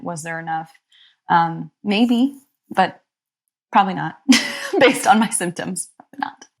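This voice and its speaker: Young adult woman, therapist guest, reflective, slightly low, fry in the voice. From Egypt. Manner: soft, thoughtful, many pauses and ums. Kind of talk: reflective interview talk.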